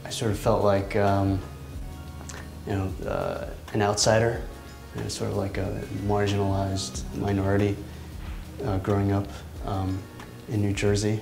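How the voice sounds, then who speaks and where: A man in his thirties, an artist, talking reflectively in a seated interview in his studio, pausing often with ums and restarts. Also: lowish voice, little pitch movement, gravelly, over music. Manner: thoughtful, halting, many ums and restarts. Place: large indoor art studio. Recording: echoey studio room.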